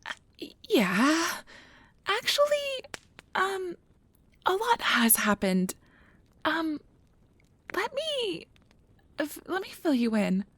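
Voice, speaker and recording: A woman playing a nervous, caring young magic researcher in an ASMR audio drama, rambling in a flustered, halting, apologetic way. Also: very high-pitched, huge pitch swings, a bit bright, full character voice. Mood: hesitant, nervous.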